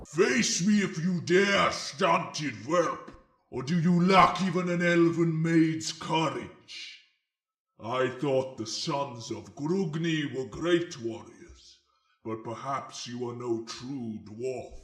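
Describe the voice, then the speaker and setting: Man as a forceful, savage fantasy warrior. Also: a little high, reverberant. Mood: scornful, taunting. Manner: very slow, declamatory, forceful. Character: bellowing male fantasy warrior, savage and taunting. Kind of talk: dramatic fantasy character acting.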